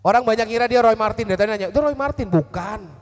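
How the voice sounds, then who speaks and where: A man emceeing a live outdoor event, chatty and joking in relaxed slang on a handheld mic. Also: high voice, racing, in a large hall. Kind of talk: casual live-event hosting. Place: outdoor stage at an office yard event. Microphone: handheld mic through PA.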